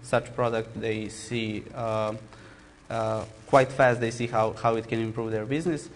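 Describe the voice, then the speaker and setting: A man with a Serbian accent describing his software company on a panel, speaking haltingly with many restarts and cut-off words. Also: lowish voice, steady tone, big-room sound, a little hiss or hum. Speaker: software startup founder on a panel. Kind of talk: panel introduction.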